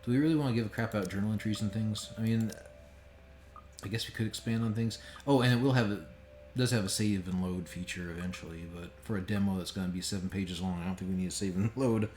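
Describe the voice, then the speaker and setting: A man's voice: on the low side, fast talker, a little mellow. A man giving casual, joking and sometimes profane commentary as a game streamer. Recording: roomy echo with faint background noise.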